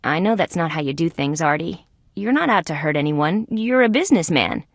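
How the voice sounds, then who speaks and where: A determined young female insect detective for an adventure video game. Her voice sits a little low, with wry, conversational, clearly spoken delivery. The recording is very dry, with no room sound. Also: expressive, a little fast, no room sound. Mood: firm, threatening. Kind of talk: scripted adventure game voice acting.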